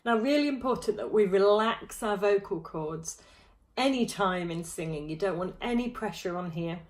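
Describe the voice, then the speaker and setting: A woman, teaching a vocal warm-up to camera from a small room at home, friendly and demonstrative. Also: mid-range voice, expressive, moderate pace. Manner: friendly, demonstrative, touching throat and jaw while explaining. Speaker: choir vocal coach.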